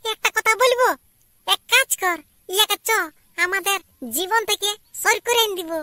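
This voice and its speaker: A woman with a Purulia Bengali accent voicing a scornful, scheming young wife in an amateur cartoon, blunt and nagging, through a pitched-up voice filter. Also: moderate pace, bright, forceful tone, dry recording, studio quality. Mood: conspiratorial, discontented.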